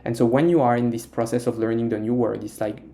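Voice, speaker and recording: A man's voice: mid-range voice, fairly even intonation, quick pace, vocal fry, mellow. A young man with a French accent, chatting as an interview guest about learning Mandarin, hesitant with many fillers and restarts. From France. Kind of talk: casual interview chat.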